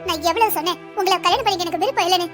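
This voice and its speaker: A woman voicing a resentful young bride and protective mother in a cartoon drama, sharp and confrontational. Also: very high-pitched, racing, over loud music. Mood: resentful, angry. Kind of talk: Tamil cartoon drama dialogue.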